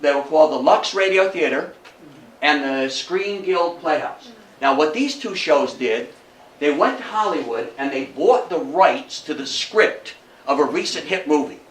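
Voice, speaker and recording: Higher-voiced man lecturing in an echoey room. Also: lively intonation, a bit bright. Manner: conversational, halting, prompts listeners with questions. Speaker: guest lecturer. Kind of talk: informal public lecture with audience questions. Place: indoor meeting room, before a local audience. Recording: echoey room, distant microphone.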